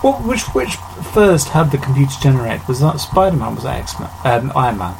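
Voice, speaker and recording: A man's voice: medium pitch, very animated, fairly quick, gravelly, a little mellow, slight background noise. A man in his late thirties co-hosting a casual podcast, joking, laughing often and trailing off mid-thought. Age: 30s. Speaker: podcast co-host. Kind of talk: casual chat.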